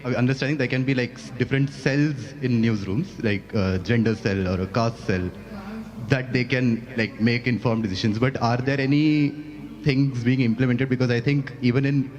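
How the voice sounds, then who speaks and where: A man's voice: mid-range voice, normal speed, darkish tone. A man in the audience asking a question hesitantly, full of filler words. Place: festival hall.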